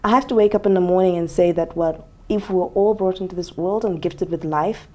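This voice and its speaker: A woman from Zambia talking reflectively as an interview guest, expressive, with frequent fillers and ready laughter. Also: fairly low pitch, brisk.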